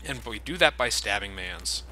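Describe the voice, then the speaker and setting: A young man giving relaxed, casual commentary over a game, swearing freely and laughing often. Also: on the low side, normal speed. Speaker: let's play commentator. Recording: game gunfire noise behind. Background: game gunfire and ambience.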